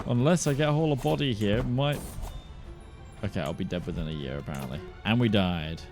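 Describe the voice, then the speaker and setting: A man casually narrating his own strategy game run, thinking aloud and swinging between flat musing and sudden excitement. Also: on the low side, fairly quick, music in the background. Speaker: gaming YouTuber.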